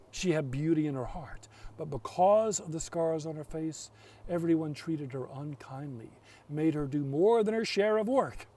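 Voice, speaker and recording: A man telling a folk tale as a storyteller, theatrical, with deliberate pauses. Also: sits high, a little mellow, distant mic.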